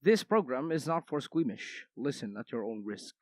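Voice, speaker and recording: A man's voice: medium pitch, sing-song, moderate pace, no room sound, faint music behind, lightly acted. A young man playing a sinister, pompous horror host who introduces a radio thriller in a theatrical manner. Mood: ominous. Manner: pompous, dramatic, snapping at times.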